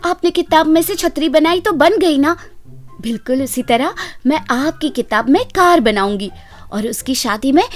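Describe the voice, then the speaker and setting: Woman playing a high, bubbly, boastful young daughter-in-law. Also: normal speed, faint music behind. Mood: boastful, eager. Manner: wheedling, excitable, showy. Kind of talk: animated Hindi moral story character voice.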